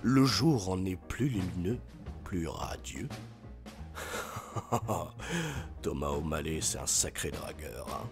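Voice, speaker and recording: A man voicing a smooth-talking, flirtatious cartoon tomcat, wooing in a breathy way. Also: low-pitched, slow pace, long pauses, music in the background, pitched below the performer's own voice.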